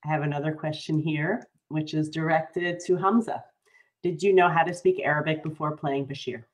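A woman's voice: low-pitched, fairly even intonation, moderate pace. A woman moderating a video call panel, reading audience questions in an upbeat way.

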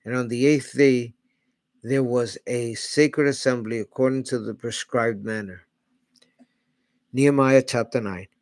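A middle-aged man reading scripture aloud in a small home studio on a desk microphone, steady, and correcting himself on hard names. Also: mid-range voice, a little slow, no room sound. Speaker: Bible reader. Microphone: large studio mic on a desk arm.

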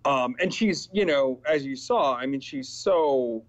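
A man in his forties recounts his time on set over a video call, slipping into the voices of the people he quotes. His voice sits at an ordinary middle pitch. The audio is a little dull and lo-fi. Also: measured pace. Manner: animated storytelling, acts out quoted exchanges. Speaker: actor guest. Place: small indoor room with purple backlight. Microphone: webcam video call.

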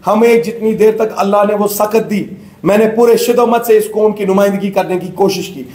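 Fervent high-voiced man. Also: normal speed, mellow. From Kashmir. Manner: fervent, gesturing, switching into English phrases. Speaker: political candidate. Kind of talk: impassioned political speech. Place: indoor press room with banners. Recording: echoey room.

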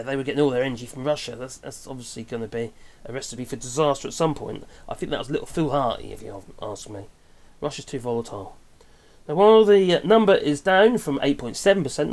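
English guy in his 50s, chatty opinionated vlog rant, wanders off and corrects himself. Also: medium pitch. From England. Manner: rambling, chatty, with tag questions and self-corrections. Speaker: vlogger commenting on news. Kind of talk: casual opinionated commentary. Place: small wooden cabin room with open window.

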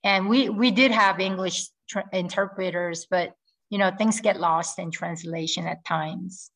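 A woman's voice: lowish voice, fairly even intonation, unhurried, a bit bright. A middle-aged woman, a native Korean speaker, speaking earnestly on a video call from home, with frequent ums and restarts. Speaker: guest air force officer. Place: home room with ceiling fan. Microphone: laptop webcam on video call.

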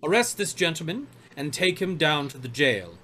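A man's voice: on the high side, sing-song, moderate pace, bright, forceful tone, heavily acted. A man playing a stern, officious country doctor in a radio mystery, formal, pompous and unyielding. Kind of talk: old-time radio mystery acting.

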